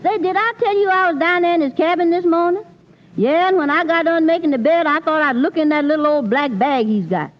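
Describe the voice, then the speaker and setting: An actress playing an affectionate, gossipy housemaid in old-time radio comedy, talking in a folksy, colloquial way. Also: female voice, extremely high pitch, fairly quick. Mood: eager, gossipy. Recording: muffled old radio recording.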